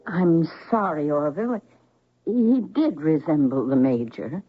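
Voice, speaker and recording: Casting: secretive mature mother, husky and dramatic, anxious hesitations, dull vintage radio sound. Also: deep voice, sing-song, unhurried, full character voice. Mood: apologetic. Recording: dull, muffled old radio recording.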